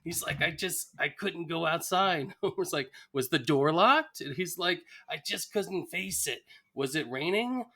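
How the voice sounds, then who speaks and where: A man's voice: fairly high pitch, lively intonation, unhurried, hardly any pauses, bright, forceful tone. A man co-hosting a podcast, playfully chatting about a TV episode, chiming in with laughs and acted-out quotes. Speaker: podcast co-host.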